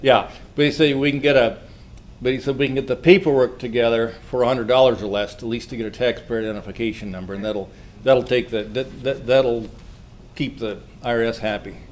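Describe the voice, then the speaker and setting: A man hosting an informal club meeting, speaking to the room in a folksy, halting way with repeats and self-interruptions. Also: medium pitch, fairly even intonation. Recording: noisy live meeting room recording.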